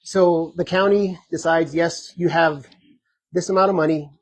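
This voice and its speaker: A man running for sheriff, answering audience questions at a community meet and greet in an earnest, conversational way, hoarse and full of filler ums. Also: on the high side, steady tone, measured pace, rough recording. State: hoarse, losing his voice. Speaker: sheriff candidate.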